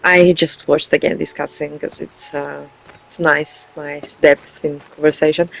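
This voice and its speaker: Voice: mid-range voice, little pitch movement, unhurried, vocal fry, a bit bright, low-quality recording. A gaming video host giving casual, rambling, informal commentary on a playthrough, thinking aloud.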